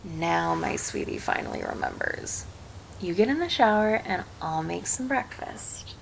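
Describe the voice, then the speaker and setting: Woman voicing an affectionate succubus girlfriend, faintly creaky. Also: medium pitch, slight background noise. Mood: sweet, teasing. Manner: doting, teasing, speaking intimately to a partner. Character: cheerful, affectionate succubus girlfriend, playful and teasing. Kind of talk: ASMR roleplay, scripted monologue to the listener.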